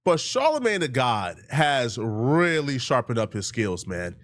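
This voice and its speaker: A man's voice: medium pitch, sing-song, unhurried. A man giving casual opinion commentary in an emphatic, slangy way as a video commentator.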